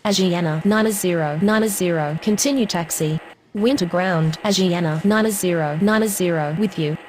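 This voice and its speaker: A brisk air traffic radio voice, played by a woman, speaks in short, formulaic phrases in a video game. Her pitch is a bit low for a woman. A faint hum of engines runs underneath. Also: natural voice, rough recording.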